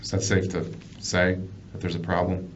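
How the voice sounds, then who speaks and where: An American man working as a police detective, questioning someone in a measured way with repeated, restarted phrases. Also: low-pitched, monotone. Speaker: police detective conducting an interrogation. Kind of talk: investigative interview. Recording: muffled, noisy police interview room recording.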